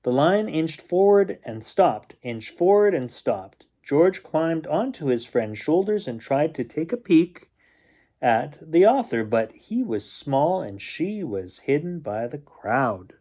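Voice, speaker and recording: A man reading a children's picture book aloud as a bedtime storyteller, expressively and with drawn-out suspense. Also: a little high, sing-song, warm tone.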